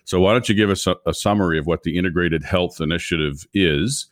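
A man's voice: low voice, fairly quick, no room sound, clean studio sound. A man hosting a podcast, earnestly reading a prepared intro and then interviewing a guest. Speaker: podcast host.

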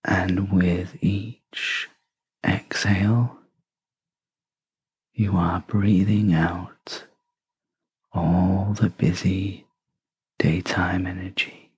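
A guide leading a sleep meditation in a breathy half-whisper, gentle and soothing, with long pauses between short phrases. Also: deep voice, fairly even intonation, no room sound. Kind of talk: guided sleep meditation, soft scripted narration.

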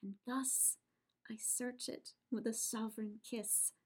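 A woman performing a lovesick, impulsive young woman in Shakespearean verse, with dramatic, drawn-out delivery. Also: fairly high pitch. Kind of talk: theatrical Shakespearean verse acting.

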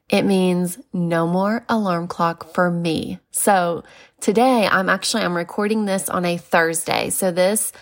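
Slow-talking congested woman in her thirties. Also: fairly low pitch. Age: 30s. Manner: chatty, lots of fillers and laughs. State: congested, nasal. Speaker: podcast host. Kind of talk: casual solo podcast chat.

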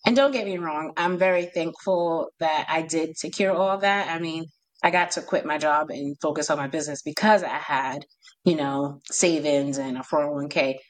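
A woman's voice: deep voice, a bit bright, low-quality recording. A woman with a New York accent, a home organizer as podcast guest, chatting casually and laughing often. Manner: laughs often, talks in bursts with pauses.

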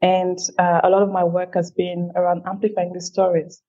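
A woman from Cameroon, a guest answering interview questions in a thoughtful, measured way that quickens on asides. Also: lowish voice, completely flat delivery, highs cut off, soft background music. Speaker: guest, feminist leader.

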